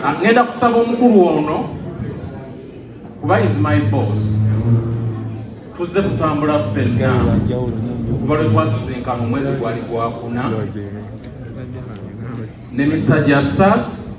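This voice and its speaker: A man from Uganda giving a lively speech to a community meeting. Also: fairly high pitch, normal speed, long pauses. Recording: roomy hall from far off, noisy background.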